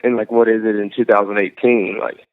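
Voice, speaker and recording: Halting young man over a tinny line. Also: fairly low pitch, little pitch movement. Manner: halting, full of likes and ums. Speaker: singer-songwriter guest. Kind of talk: casual interview chat. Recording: tinny phone-like sound.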